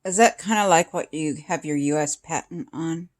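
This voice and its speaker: A woman's voice: low voice, moderate pace. An older woman in casual chat, asking curious questions and giving short reactions. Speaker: interviewer questioning the other speaker.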